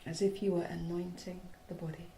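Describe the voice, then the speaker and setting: A woman leads a guided meditation in a low, soft voice with very little rise and fall. She speaks slowly in short, spaced phrases, soothing and hushed. There is a faint layer of background noise. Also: level tone, gravelly, poor audio. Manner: short phrases with long pauses. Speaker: yoga nidra guide.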